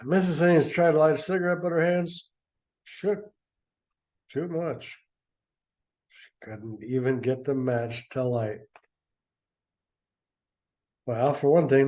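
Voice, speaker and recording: A man's voice: medium pitch, darkish tone. A man reading a story aloud and breaking off for sarcastic, mocking commentary. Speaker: reader and commentator.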